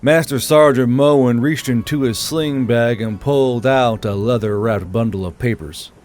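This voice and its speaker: A man's voice: mid-range voice, unhurried, darkish tone. A man reading scripted narration for a fantasy audiobook as its narrator.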